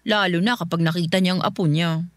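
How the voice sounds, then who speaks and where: A woman playing a loving, playful young wife in a Filipino radio drama, sweet and expressive, with a Filipino accent. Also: on the low side, fast talker, no room sound, lightly acted. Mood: tender.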